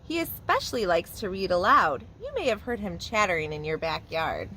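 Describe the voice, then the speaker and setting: A woman preschool teacher reading a picture book aloud to young children in a warm, expressive storytelling manner. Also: on the low side, normal speed, a little hiss or hum, rough recording.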